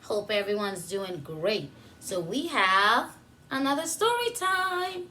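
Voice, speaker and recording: Lady preschool teacher reading a kids book, slow n animated, stops to explain and ask little questions. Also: a little high. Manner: instructional, asks questions and pauses for answers. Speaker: preschool teacher hosting story time. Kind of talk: read-aloud story time for young children with teaching asides.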